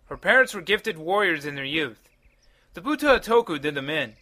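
A man's voice: fairly high pitch, big pitch swings, a little fast, bright, forceful tone. A man voicing an impatient male town guide who talks brusquely. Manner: brusque, shooing.

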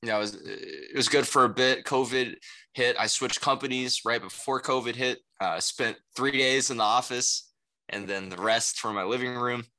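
A man's voice: mid-range voice, normal speed, bright, forceful tone, poor audio. A young man who speaks Mandarin Chinese natively, answering as a casual interview guest in a rambling way full of conversational tags. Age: young adult. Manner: rambling, frequent "you know" and "right?" tags. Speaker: interview guest, salesperson and rapper.

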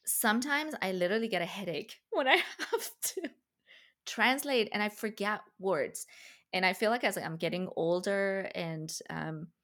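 A woman's voice: medium pitch, expressive, a little slow, fairly bright tone. A woman whose first language is Farsi, hosting a casual conversation and talking thoughtfully with light laughs. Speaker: podcast host.

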